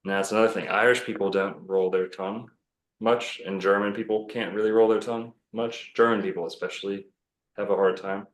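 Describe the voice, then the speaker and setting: A man's voice: fairly low pitch, fairly even intonation, fairly quick, echoey room. A man talking casually as a podcast guest, rambling and self-assured with many fillers and restarts. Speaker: podcast guest, bookstore owner.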